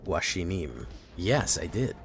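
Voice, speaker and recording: Brief: young adult man, deep voice, easygoing streamer banter over faint background music. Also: slow, creaky. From Chile. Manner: relaxed, chatty, reacting to chat. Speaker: streamer playing a card game. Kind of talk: casual live-stream commentary.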